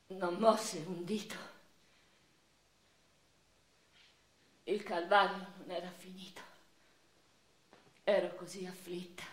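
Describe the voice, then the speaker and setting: An actress playing a grieving, passionate eighteenth-century noblewoman poet who recounts her life in an intense, theatrical radio monologue. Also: a little high. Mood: bitter sorrow. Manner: theatrical, intense, swinging from hushed sorrow to anguished outcry. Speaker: stage actress. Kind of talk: dramatic radio theatre monologue, first-person literary narration. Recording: roomy echo, faint music behind.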